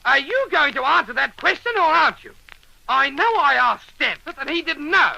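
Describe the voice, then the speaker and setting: A fussy, exasperated schoolmaster in vintage radio comedy. His voice is very high, sharp and creaky, swinging up and down as he stammers and blusters through a scolding lesson. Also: expressive, normal speed, no room sound, heavily acted, low-quality recording. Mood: flustered. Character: flustered, exasperated old-fashioned male schoolmaster. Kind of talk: old-time radio comedy acting. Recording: vintage radio broadcast recording.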